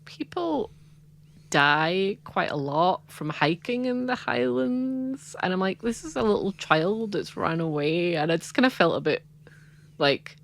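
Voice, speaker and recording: Low-voiced Scottish woman chatting. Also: expressive, a little slow, vocal fry. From Scotland. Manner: chatty and rambling, frequent "like" and laughs. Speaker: podcast co-host. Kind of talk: casual chat.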